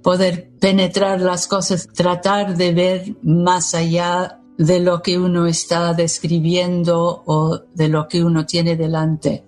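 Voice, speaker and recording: Woman with an American English accent, Spanish as second language; slow, flat, lower-pitched delivery; reflective interviewee. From United States. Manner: measured, thoughtful, pausing between phrases. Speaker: poet, interviewee.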